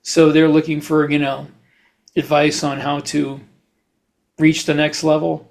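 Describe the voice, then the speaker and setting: Halting man with a higher-pitched voice. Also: little pitch movement, gravelly, darkish tone. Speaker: podcast host; writer. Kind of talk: casual podcast chat.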